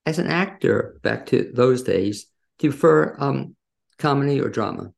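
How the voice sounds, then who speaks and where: A man hosting a casual interview, asking questions in a halting, backtracking way. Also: mid-range voice, lively intonation, gravelly. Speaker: interviewer and host.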